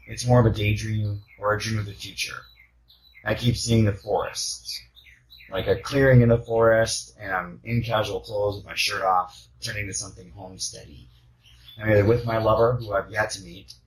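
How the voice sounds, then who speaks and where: A man's voice: lowish voice, slight background noise, rough recording. A man leaving a casual voice message, talking in a rambling, dreamy and candid way.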